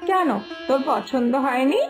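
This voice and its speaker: A woman voicing a loving but firm village mother in an animated moral story, scolding and coaxing in a motherly way. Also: sits high, moderate pace, music in the background, natural voice. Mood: tender, coaxing.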